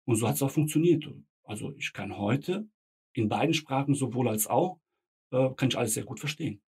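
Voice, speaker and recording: A man's voice: mid-range voice, fairly quick, darkish tone, slightly rough. A middle-aged man with German parents from Bosnia, a tram driver, answering interview questions casually with hesitant fillers and short agreements.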